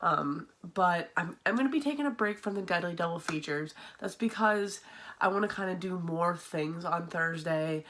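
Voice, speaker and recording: A woman's voice: lowish voice, moderate pace, fairly bright tone, a little hiss or hum. A young woman chatting casually to camera as a horror video host, sounding nasal and stuffy from a sinus infection, with frequent ums and restarts. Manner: chatty, lots of ums and restarts, laughs often. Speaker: horror movie YouTuber.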